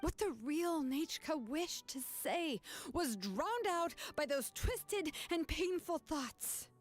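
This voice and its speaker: A woman voicing a calm, firm young woman who confronts a grieving aunt in earnest, measured speech. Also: high-pitched, soft background music.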